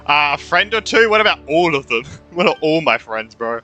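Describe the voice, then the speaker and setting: A man's voice: on the high side, big pitch swings, moderate pace, pushed and bright, muffled recording, faint music behind. A man giving casual, irreverent gameplay commentary as a streamer, reacting off the cuff. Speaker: gaming streamer.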